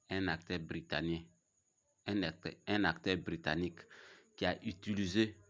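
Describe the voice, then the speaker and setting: A man with a cold giving a casual, challenging religious talk to his viewers, repeating himself and pausing often. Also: sits low. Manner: challenging, repetitive, halting. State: has a cold. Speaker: religious video host. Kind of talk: casual religious preaching to camera.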